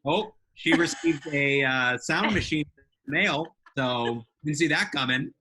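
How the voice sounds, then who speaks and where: A man's voice: fairly high pitch, slow pace, pushed and bright, breathy edge. A man co-hosting a podcast, chiming in with casual banter and asides. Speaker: podcast co-host.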